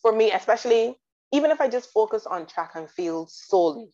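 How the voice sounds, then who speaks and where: A woman from Barbados chatting about sports in detail, enthusiastic and quick to laugh. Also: on the high side, lively intonation, mellow. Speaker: guest commentator. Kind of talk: casual sports chat. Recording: dull lo-fi audio.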